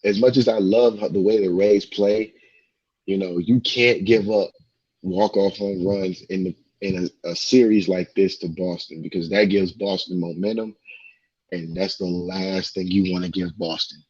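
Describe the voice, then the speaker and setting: A man's voice: deep voice, fairly even intonation, moderate pace, darkish tone, husky, dull audio. A man co-hosting a sports talk show, losing his voice, emphatic and repeating his points as he gives score updates.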